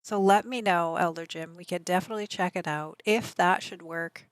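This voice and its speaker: A woman streamer with a slightly raspy voice, chatting casually and answering her viewers. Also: fairly low pitch, fairly even intonation, normal speed, dry recording. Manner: rambling, chatty, answers chat messages aloud. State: allergies. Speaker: game streamer.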